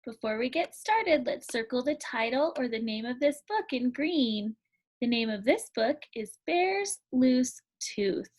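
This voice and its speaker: A woman with a high, light voice reads a children's picture book aloud, taking her time. She sounds like a teacher at storytime, clear and careful with each line. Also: very animated, a little mellow. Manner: slow, clear storytime reading.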